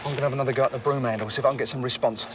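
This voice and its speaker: Man plays an urgent cop, quick talk, faint noise behind. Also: mid-range voice, steady tone, close to an everyday voice. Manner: urgent, pressing. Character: urgent male police officer outside siege.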